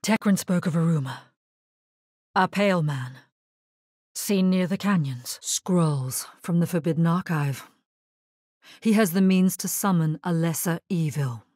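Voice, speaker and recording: A woman playing a grave, resolute necromancer hero in a video game, husky-voiced, speaking in a measured, solemn and terse way. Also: on the low side, a little mellow, dry recording, studio quality. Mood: grave, questioning. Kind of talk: scripted video game character lines.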